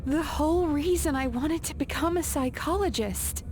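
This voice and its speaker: A woman playing a gentle, earnest young dream psychologist in a video game, soft-spoken, reflective and measured. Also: high-pitched, noisy background, close to an everyday voice. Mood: wistful, reflective.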